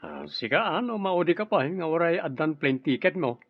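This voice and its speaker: Impatient, higher-voiced migrant worker. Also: male voice, expressive, moderate pace, no room sound. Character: impatient, practical male overseas worker ready for home. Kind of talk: Ilocano radio drama acting. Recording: dull, lo-fi audio.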